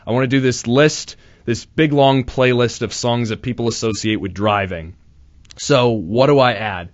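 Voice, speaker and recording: A man's voice: mid-range voice, normal speed, no room sound, lo-fi sound. A young man hosting his first college radio show, talking casually with nervous restarts and self-deprecating asides in a small studio. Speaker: radio host. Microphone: studio microphone.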